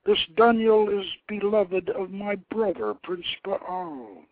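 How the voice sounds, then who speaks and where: A man voicing an imperious, mocking goddess of war in a storybook reading, husky and raised above his usual voice. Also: high voice, expressive, slow pace, dry recording, pitched above the performer's own voice. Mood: scornful command. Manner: commanding, scornful. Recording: tinny phone line.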